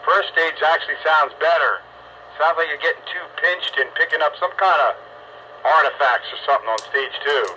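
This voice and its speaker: Bright, forceful older man on radio. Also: on the high side, brisk. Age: 60s. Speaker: amateur radio operator. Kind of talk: casual on-air radio chat. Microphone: two-way radio. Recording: dull lo-fi radio link, faint static.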